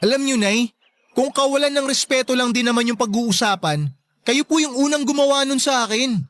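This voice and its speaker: A man's voice: extremely high pitch, fairly quick, fairly bright tone. An actor playing a young man, a devoted partner and wounded son, in a Filipino radio drama. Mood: hurt, indignant.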